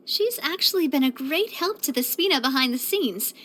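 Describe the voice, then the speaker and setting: Young lady boss from a video game, high voice, sociable, earnest, expressive and chatty. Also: normal speed. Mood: cheerful, fond. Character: bright, earnest, sociable young female leader of a street organization. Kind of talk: video game character voice lines.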